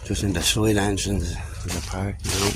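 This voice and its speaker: A man's voice: low voice, level tone, moderate pace. A man chatting and commentating casually while filming outdoors on a city street. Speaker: passer-by filming a street incident. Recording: noisy outdoor street clip with traffic.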